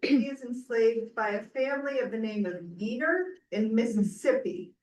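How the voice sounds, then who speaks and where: A woman's voice: fairly high pitch, normal speed, darkish tone, a little hiss or hum. A woman presenting historical context to an audience at a live event, setting the scene as she narrates. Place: live event in a reverberant room. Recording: echoey room, far from the mic.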